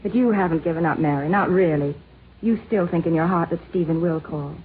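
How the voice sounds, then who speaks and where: An actress playing a naive, anxious young society wife in a 1950s radio drama, with a pleading, melodramatic delivery. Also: female voice, on the low side, fairly quick, lightly acted. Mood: distraught. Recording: tinny, noisy 1950s radio recording.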